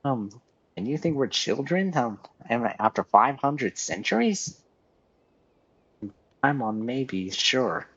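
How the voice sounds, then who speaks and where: A man's voice: medium pitch, big pitch swings, slow pace, long pauses, fairly bright tone, breathy edge, no echo. A man streaming a video game and reading its dialogue aloud. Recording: dull lo-fi sound with music behind.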